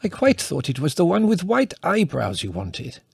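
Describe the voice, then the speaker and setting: A man voicing an excitable old peasant woman with a shrill, breathless way of pleading, in a dry recording. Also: normal speed, gravelly, breathy edge, dry recording, heavily acted. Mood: surprised, chatty.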